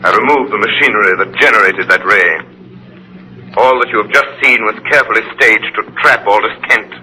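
A man playing a mysterious, commanding crime avenger in a 1930s radio drama, speaking deliberately and accusingly. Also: medium pitch, low-quality recording. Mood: solemn. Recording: forceful, thin 1938 radio recording.

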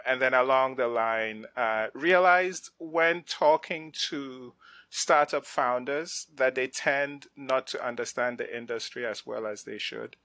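A middle-aged man from West Africa, a venture investor speaking as a guest in a casual, animated interview, often restarting his sentences. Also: medium pitch, vocal fry, no echo. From West Africa (Ghana, Nigeria). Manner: animated, frequent restarts and laughs. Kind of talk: conversational interview.

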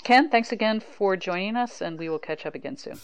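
A woman's voice: medium pitch, animated, brisk, smooth. A woman with an American accent, hosting a sports podcast and talking casually as a reporter. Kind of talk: casual conversational sports talk.

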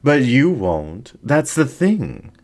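A man voicing a seductive, haughty fox incubus ringmaster in a story, with a drawn-out, theatrical, teasing delivery. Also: medium pitch, very animated, darkish tone, pitched above the performer's own voice, exaggerated voice. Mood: ominous, coaxing.

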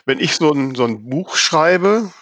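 A man's voice: on the high side, lively intonation, measured pace, fairly bright tone, no echo. A man hosting a casual conversation, putting questions to a guest in informal, plain-spoken language. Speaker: podcast host.

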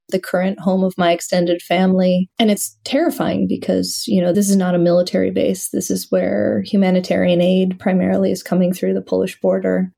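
A woman's voice: medium pitch, gravelly, mellow. A woman from Western Ukraine, a venture investor, speaking in an earnest, reflective and articulate way as a podcast guest. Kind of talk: podcast interview.

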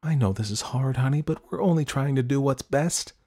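A man's voice: medium pitch, fairly quick, a little mellow, pitched above the performer's own voice, natural voice. A man voicing a concerned, firm mother of a teenager in an audiobook, earnest and emphatic. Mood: soothing. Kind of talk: audiobook character acting.

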